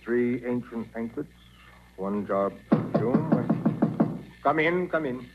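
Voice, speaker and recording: A muffled old radio recording of a fussy museum scholar. His mid-pitched voice moves animatedly, formal and precise in 1940s drama style. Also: expressive, slow, over loud music. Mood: fussy, polite.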